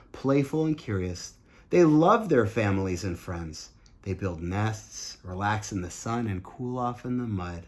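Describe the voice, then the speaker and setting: A man with an average-pitched voice reads aloud to children like a teacher. His intonation swings widely as he stresses key points. The delivery is earnest and instructive, and he adds explanations for young listeners as he goes. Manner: earnest, emphatic, didactic. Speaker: teacher and host of a children's read-aloud show. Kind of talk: expressive picture-book reading with asides to young listeners.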